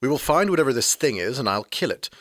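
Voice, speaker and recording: A man plays an ancient supernatural rogue in an audio drama, recorded close and dry. The mid-pitched voice swings widely, sardonic and flirtatious, quick to take theatrical offence and fond of bloodthirsty threats. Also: sing-song, a bit bright, no room sound. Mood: smug, flirtatious. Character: snarky, womanizing, bloodthirsty centuries-old male supernatural being.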